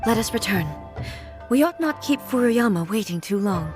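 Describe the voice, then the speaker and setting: An actress playing a solemn, regal warrior deity in a video game, grieving yet composed, with formal, measured phrasing and a soft, breathy voice. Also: female voice, on the high side, expressive, music in the background, close to an everyday voice. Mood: calm, formal. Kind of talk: video game story dialogue.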